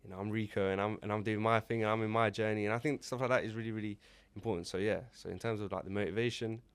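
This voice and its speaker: A man's voice: lowish voice, fairly even intonation, very fast, smooth, no echo. A man in his twenties, co-hosting a podcast, giving earnest reflective advice in casual chat. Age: 20s. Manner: reflective, earnest, fillers like "you know". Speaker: podcast co-host.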